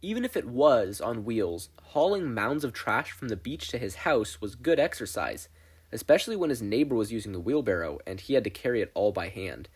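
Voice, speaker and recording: A man reading fiction aloud as a narrator, with an expressive, scripted storytelling delivery. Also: medium pitch, a little fast, slightly rough. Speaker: audiobook narrator.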